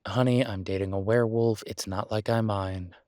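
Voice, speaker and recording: Man playing easygoing boyfriend, lowish voice, dry close sound, casual, gently reassuring, intimate roleplay audio. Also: fairly even intonation, normal speed, no room sound, lightly acted. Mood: teasing, flustered. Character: caring, easygoing human boyfriend of a werewolf, reassuring. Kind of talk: roleplay audio drama, one-sided intimate conversation.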